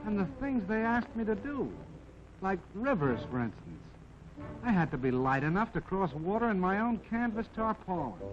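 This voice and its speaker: A man's voice: high voice, sing-song, moderate pace. A man voicing a modest, plucky army jeep that tells its own life story in a folksy, wry 1940s film narration. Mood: earnest, wondering. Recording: dull vintage 1940s film soundtrack, music behind.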